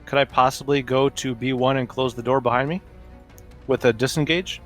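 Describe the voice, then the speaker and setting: Man playing a tabletop game, mid-pitched. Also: fairly even intonation, fairly quick, soft background music. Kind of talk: casual chat during a game.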